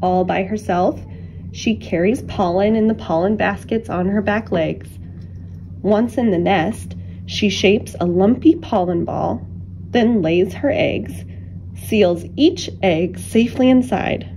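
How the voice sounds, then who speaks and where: A woman reading a children's picture book aloud with deliberate, expressive phrasing and dramatic pauses. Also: mid-range voice, slight background noise, poor audio. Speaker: children's storybook reader.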